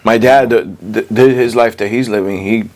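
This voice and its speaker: A man with a fairly low voice tells personal stories as a guest in an interview. His language is informal and streetwise, peppered with "you know," and the delivery is conversational. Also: steady tone, brisk, over a noisy crowd. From born in the US, Puerto Rican, moved to Puerto Rico as a child. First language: English. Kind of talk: casual personal storytelling.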